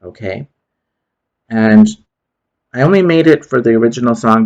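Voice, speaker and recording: A man with a cold, sounding congested and nasal, talking in a casual, rambling monologue full of fillers and restarts, with low energy. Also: creaky, fairly bright tone, poor audio. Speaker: channel host.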